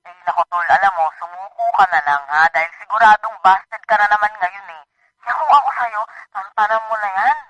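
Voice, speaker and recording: Radio drama: mocking young Filipino male cousin, piercingly high, tense delivery, needling. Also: a little fast, pauses often, bright, forceful tone, lo-fi sound. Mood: mocking, discouraging. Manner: scolding, teasing.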